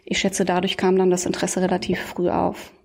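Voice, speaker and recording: A woman's voice: lowish voice, monotone, brisk, vocal fry, darkish tone. A woman in her thirties, born in Iran and raised in Germany, answering interview questions as a researcher with fluent explanations and frequent fillers.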